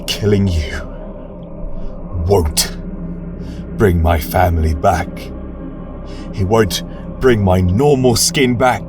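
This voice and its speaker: A man voicing a grieving, scorched fantasy warrior who is cold yet grimly merciful, speaking in halting, solemn pronouncements. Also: deep voice, far from the mic, music in the background. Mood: cold, sorrowful. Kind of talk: dramatic fantasy audio storytelling.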